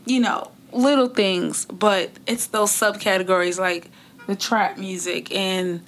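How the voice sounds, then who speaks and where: A woman co-hosting a podcast, asking questions in relaxed conversation. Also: mid-range voice, unhurried. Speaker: podcast co-host. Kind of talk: casual interview chat.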